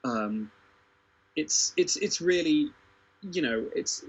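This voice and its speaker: A man discussing history informally, thinking aloud haltingly and repeating words as he goes. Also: a little high, expressive, slightly rough, poor audio. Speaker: podcast panellist.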